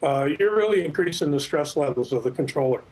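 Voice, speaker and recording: Husky middle-aged man, measured expert guest. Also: on the high side, expressive, darkish tone, poor audio. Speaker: expert guest, former air traffic controller. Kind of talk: news interview commentary.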